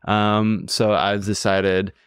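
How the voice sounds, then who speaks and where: A man's voice: lowish voice, little pitch movement, slow pace, smooth, no echo, studio quality. A young man co-hosting a casual podcast, bantering in slangy, tongue-in-cheek fashion. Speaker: podcast co-host.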